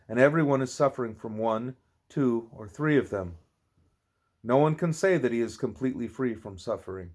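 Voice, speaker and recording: A man's voice: mid-range voice, darkish tone. A man reading a spiritual teaching aloud like an audiobook, occasionally stumbling and repeating words. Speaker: audiobook reader.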